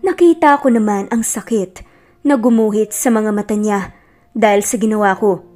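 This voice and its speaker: A woman's voice: mid-range voice, normal speed, darkish tone, faint music behind. A woman narrating a scripted romance story in an emotive, dramatic way.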